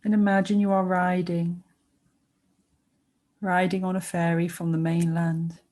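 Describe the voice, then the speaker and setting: A woman guiding a sleep meditation with soothing narration, speaking gently and unhurriedly with pauses between short phrases. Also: on the low side, level tone. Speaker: meditation guide.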